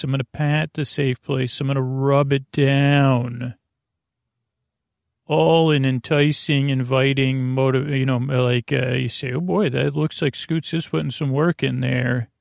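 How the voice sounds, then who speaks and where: A man hosting a sleep podcast with a meandering bedtime monologue in a creaky voice, hesitant and full of tangents and filler. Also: mid-range voice, no room sound, clean studio sound. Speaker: podcast host. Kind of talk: rambling bedtime monologue to lull listeners to sleep.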